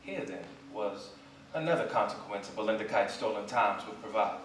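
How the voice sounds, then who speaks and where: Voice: mid-range voice, animated, a little fast, slight background noise. A person reading a literary story aloud theatrically, as one of an ensemble on stage. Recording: very echoey live theatre, distant mic.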